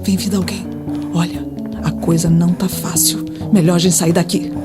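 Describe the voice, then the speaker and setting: A woman's voice: on the low side, flat delivery, normal speed, lightly acted. An actress playing an alarmed, cautious Brazilian woman sharing secrets urgently in a radio drama. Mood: anxious, secretive. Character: alarmed, cautious woman whispering about a persecution list at work. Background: music.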